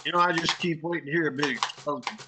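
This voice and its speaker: A man's voice: on the high side, fast, noisy background, lightly acted. A man playing an anxious, loyal newspaper reporter, wry and urgent, in a 1950s-style radio drama. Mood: wry unease. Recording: dramatic music and typewriter clatter behind.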